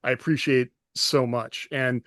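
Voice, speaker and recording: A man co-hosting a podcast as an author, reasoning things through in a measured, casual way. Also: medium pitch, fairly even intonation, a bit bright, no room sound, clean studio sound. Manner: thoughtful, measured, many "you know" fillers.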